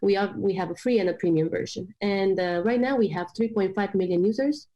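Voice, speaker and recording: A woman's voice: mid-range voice, fairly quick, highs cut off. A woman from Romania in her late twenties, speaking as an interview guest with many hesitant fillers. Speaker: guest, startup product manager. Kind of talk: remote interview.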